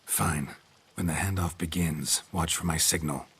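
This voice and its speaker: An actor playing a gruff, cool-headed male monster hunter in a video game, speaking in clipped, unemotional phrases. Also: deep voice, fairly even intonation, measured pace, fairly bright tone, heavily acted. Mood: calm, resolute. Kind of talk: understated video game acting.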